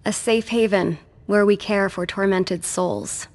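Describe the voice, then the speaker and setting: A woman's voice: mid-range voice, steady tone, unhurried, darkish tone, lightly acted. Casting: actress for defiant ex-servant woman, video game drama, medium register, long echoing tail. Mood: sober, reflective. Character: defiant, world-weary woman, former servant of a vampire. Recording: long reverb.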